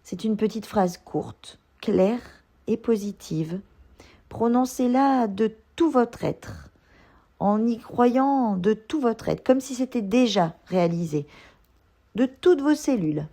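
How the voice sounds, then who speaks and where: A woman yoga instructor leading a guided relaxation meditation, giving gentle, soothing instructions. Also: on the high side, animated, slow pace.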